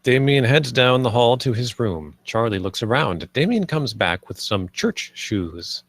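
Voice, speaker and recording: A man's voice: medium pitch, big pitch swings, unhurried, no room sound, clean studio sound. A man reading stage directions as the narrator of a film script read. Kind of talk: scripted narration, present tense.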